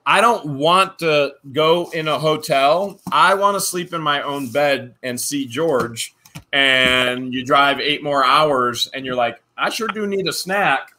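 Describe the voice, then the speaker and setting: A man co-hosting a casual vlog, opening fan mail and reading letters aloud with playful, animated jokes. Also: on the high side, slow. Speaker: channel co-host.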